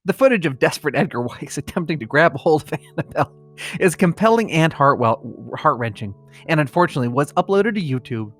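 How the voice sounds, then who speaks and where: A man's voice: on the high side, expressive, a little fast, a bit bright, faint music behind. A middle-aged man co-hosting a comedy podcast, moving between mock-solemn reading and casual chat. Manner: breaks into laughter while trying to read gravely.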